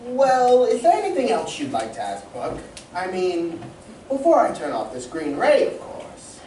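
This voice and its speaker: A woman's voice: fairly low pitch, huge pitch swings, normal speed, dark tone. A woman playing a genial, proud scientist inventor, theatrical and emphatic, in an old-time radio serial reading. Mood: amused, probing. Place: community playhouse stage, live reading. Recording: echoey, distant mic, faint noise.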